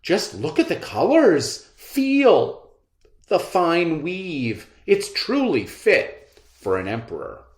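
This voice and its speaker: A man voicing a sly, flattering swindler tailor in a storybook, with an effusive salesman's patter. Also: fairly high pitch, slow pace, echoey room, pitched above the performer's own voice. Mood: eager flattery.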